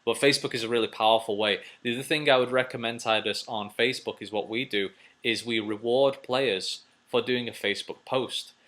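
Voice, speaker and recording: A man's voice: medium pitch, steady tone, brisk, a bit bright. A man hosting a casual, unscripted advice video, answering viewers as he thinks aloud, rambling and repeating phrases.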